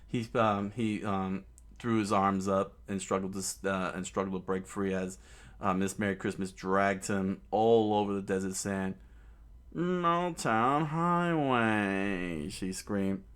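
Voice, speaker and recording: A man's voice: low voice, slow pace, a bit bright, smooth. A man voicing a cowardly young male sniper who yelps and panics. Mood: terrified. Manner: yelping, panicky. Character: frantic young male long-nosed sniper, cowardly and shrill.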